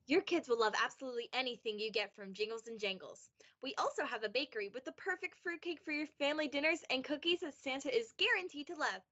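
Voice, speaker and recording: A woman's voice: high voice, animated, fast talker, bright, forceful tone, lo-fi sound, heavily acted. A woman playing a cheery shop saleswoman reading a scripted radio advert. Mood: cheery, promotional.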